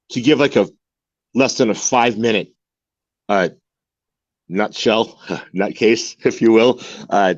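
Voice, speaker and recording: A man's voice: on the low side, dry recording, dull audio. Man in his 40s telling his story, earnest, keeps repeating words, dry sound. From United States. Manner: earnest, repeats words while searching for them. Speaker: guest. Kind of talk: candid interview conversation. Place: home in Mexico.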